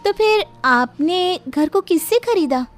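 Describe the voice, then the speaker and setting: Woman acting very high-pitched, anxious young wife. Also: animated, slow, soft background music, full character voice. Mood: uneasy. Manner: anxious, pleading. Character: frightened young newlywed wife, sensing something eerie. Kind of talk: Hindi horror cartoon dialogue.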